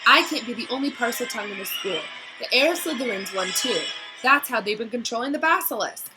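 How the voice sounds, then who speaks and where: A woman voicing an eager, excited schoolboy wizard in a storybook, with urgent exclamations. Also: on the high side, fairly quick, loud music behind the voice, close to an everyday voice, poor audio.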